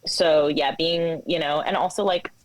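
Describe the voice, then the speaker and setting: A woman in her twenties speaks in a somewhat low register, recorded dry with very little room sound. She talks like a guest in a casual interview, candid and halting, circling through filler words as she explains herself. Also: fairly even intonation, no room sound, low-quality recording. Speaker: interview guest, coffee shop manager. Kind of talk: casual conversational interview talk.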